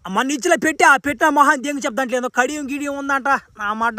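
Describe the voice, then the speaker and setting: A man's voice: extremely high pitch, quick pace, bright, forceful tone, dry recording, exaggerated voice. A man voicing a brash, crude young superhero son in an amateur cartoon dub. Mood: baffled, irritated. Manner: colloquial, crude, exclamatory. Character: brash, foul-mouthed young superhero son, easily baffled.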